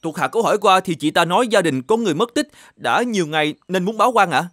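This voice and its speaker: A man voicing a deferential officer in an animated folk tale, briskly reporting to his superior. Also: high-pitched, no echo, clean studio sound. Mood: dutiful, earnest. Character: dutiful, deferential male officer serving the governor.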